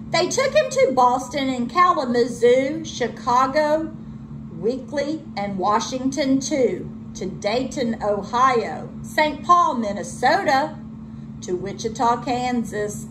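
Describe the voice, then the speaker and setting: A woman teacher reading a children's storybook aloud with expressive delivery. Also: high-pitched, background noise.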